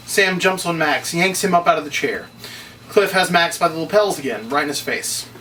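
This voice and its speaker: Higher-pitched male narrator, distant mic. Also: animated, normal speed, sharp tone. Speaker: narrator reading stage directions. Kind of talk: scripted narration at a table read. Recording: distant mic, noisy room ambience.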